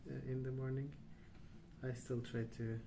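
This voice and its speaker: A man speaking softly, at times near a whisper, in an unhurried, musing ASMR ramble while colouring. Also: medium pitch, level tone, background noise. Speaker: host of a colouring ASMR channel. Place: indoors at a wooden table.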